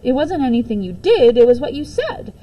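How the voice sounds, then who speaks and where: Woman acting female primary teacher, firm yet kindly, measured, mellow, storybook dialogue, faint noise. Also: fairly high pitch, big pitch swings, pitched above the performer's own voice, poor audio. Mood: explaining. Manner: measured, articulate classroom authority. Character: firm but kindly female second-grade schoolteacher.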